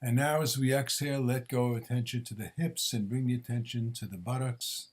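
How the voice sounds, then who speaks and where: A man with a mid-pitched voice guides a body scan meditation. He gives gentle instructions in short, measured phrases. Pauses separate one phrase from the next, in the manner of a mindfulness teacher. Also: steady tone.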